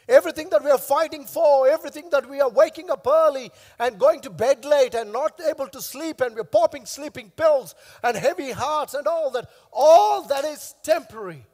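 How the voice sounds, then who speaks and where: A man in his thirties, a pastor with a hoarse, worn voice, preaching emphatically on a church stage into a headset mic, repeating key phrases. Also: very high voice, a little mellow, in a large hall. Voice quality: coughing now and then. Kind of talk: sermon. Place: large church stage with long reverb.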